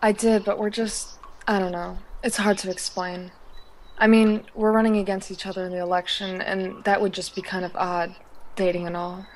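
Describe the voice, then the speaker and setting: A woman's voice: mid-range voice, flat delivery, moderate pace, a little mellow, slight background noise, lightly acted. A woman acting an insecure, romantically unlucky teenage schoolgirl in an amateur audio drama, self-pitying and hesitant. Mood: sulky, defensive.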